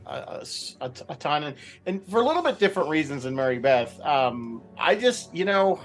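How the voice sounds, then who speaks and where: A man's voice: a little high, sing-song, a little fast, soft background music. A man sharing opinions casually on a radio roundtable, hedging and repeating himself as he goes.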